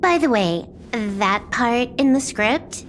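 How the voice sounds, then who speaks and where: A woman's voice: fairly high pitch, animated, slow, a little hiss or hum. A woman playing a cool, casual young female accomplice in a video game. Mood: casual, curious.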